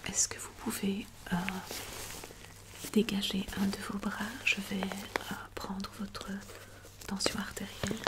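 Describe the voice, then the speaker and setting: A woman plays an attentive doctor in a medical ASMR roleplay. She whispers softly in a fairly flat, unvarying tone. Her delivery is gentle and reassuring, with hesitant pauses between phrases. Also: medium pitch. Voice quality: soft, breathy whisper.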